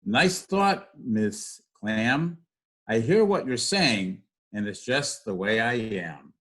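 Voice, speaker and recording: A man's voice: medium pitch, expressive, slow pace, a bit bright. A man voicing a gloomy, pouting fish from a picture book, in a mournful, resigned sing-song. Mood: glum. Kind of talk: read-aloud picture book.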